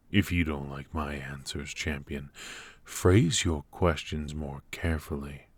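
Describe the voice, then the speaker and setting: A man playing a dark warlord sorcerer villain in an ASMR fantasy roleplay, commanding and coolly mocking, speaking in slow, silky, formal taunts with a husky, breathy voice. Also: extremely low pitch, no room sound, exaggerated voice. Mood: smug, teasing.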